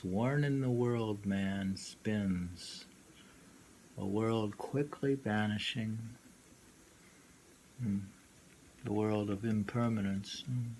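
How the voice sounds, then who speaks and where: A man reading poetry aloud with casual commentary, halting and often repeating words and phrases. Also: medium pitch, a little mellow. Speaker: reader and host. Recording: noisy homemade recording.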